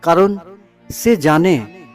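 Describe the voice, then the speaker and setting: A man with a fairly high voice tells a story slowly and emotively. He breaks it into short, dramatic phrases with pauses between them. Music plays softly behind him. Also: animated. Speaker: storyteller, narrator. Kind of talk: dramatic scripted story narration in Bengali.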